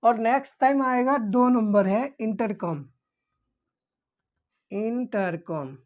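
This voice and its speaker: A woman's voice: a little high, takes it slowly, a little mellow. A woman explaining things as a teacher, repeating key terms as if dictating them. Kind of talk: explanatory lesson for security guards.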